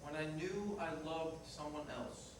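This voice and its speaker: A man's voice: a little high, a little slow, close to an everyday voice. A man playing a frank, remorseful working-class ex-fiancé in a staged reading, speaking in a plain, matter-of-fact way. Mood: frank, contrite. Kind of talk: staged reader's theater dialogue. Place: indoor performance room, distant microphone. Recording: echoey noisy room recorded from far off.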